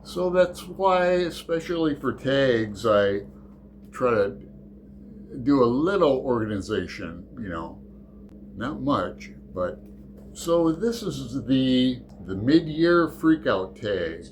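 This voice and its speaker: A man hosting his book channel in a casual, rambling chat, halting and restarting his sentences often. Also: mid-range voice, big pitch swings, a little hiss or hum.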